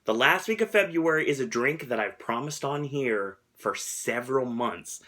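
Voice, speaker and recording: Bright-voiced man reviewing snacks. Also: medium pitch, expressive, normal speed, a little hiss or hum. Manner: rambling, chuckles often, self-deprecating. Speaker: host. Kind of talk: casual snack review vlog.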